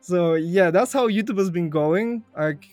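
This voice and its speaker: Young man, English, easygoing podcast guest, highish voice, dry close sound. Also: unhurried, a little mellow, no room sound, faint music behind. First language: Bulgarian. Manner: chatty, laughs easily, repeats phrases. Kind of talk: casual podcast interview.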